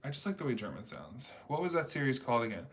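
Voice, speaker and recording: A man's voice: medium pitch, big pitch swings, fast talker. A man hosting a casual livestream chat, rambling in a talkative way with many fillers. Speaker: livestream host reading chat.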